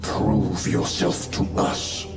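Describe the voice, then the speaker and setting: Slow, solemn warrior elder played by a man. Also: close to an everyday voice. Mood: solemn, challenging. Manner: grave, measured, solemn. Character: solemn, commanding male elder Space Marine officiating a rite. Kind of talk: ceremonial video game cutscene acting. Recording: loud cinematic music behind.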